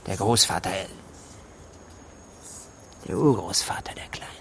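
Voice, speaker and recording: An actor playing a callous, evasive village gamekeeper in a radio play, gravelly and terse with a grudging, dismissive manner. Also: male voice, low-pitched, measured pace, a bit bright, full character voice. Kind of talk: German radio drama dialogue. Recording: noisy background ambience.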